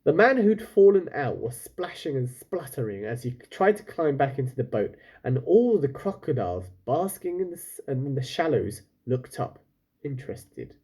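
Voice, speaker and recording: A man's voice: medium pitch, very animated, moderate pace, dark tone, breathy edge. A man reading a children's book aloud expressively, stopping now and then for casual, chatty asides to his listeners. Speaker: storyteller, reader.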